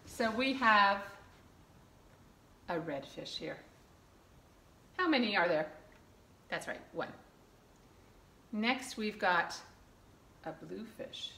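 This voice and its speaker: A middle-aged woman with a bright voice guides small children through storytime. She uses simple phrases and repeats key words at a slow pace. The room is echoey, recorded on a camera mic at a short distance. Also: mid-range voice, animated. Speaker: children's librarian leading toddler storytime. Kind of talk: children's storytime, reading aloud and counting along. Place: small indoor room with curtain backdrop. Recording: echoey room with clear background noise.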